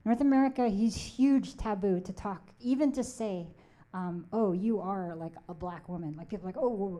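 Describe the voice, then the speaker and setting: A woman's voice: mid-range voice, moderate pace, mellow. A woman from the Philippines whose first language is Tagalog, speaking on a conference panel in an earnest, encouraging way with many "like"s.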